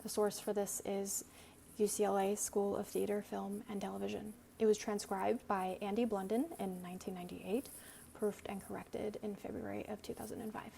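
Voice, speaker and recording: A woman reads an essay aloud as a dramatic performance, deliberate and theatrical, with pointed pauses. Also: medium pitch, flat delivery, gravelly, mellow. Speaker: reader. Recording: noticeable background noise.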